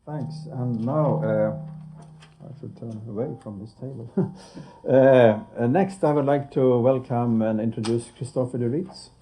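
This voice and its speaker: A man's voice: medium pitch, unhurried, dark tone, soft background music, poor audio. A man moderating a panel, introducing the next speaker with hesitant fillers.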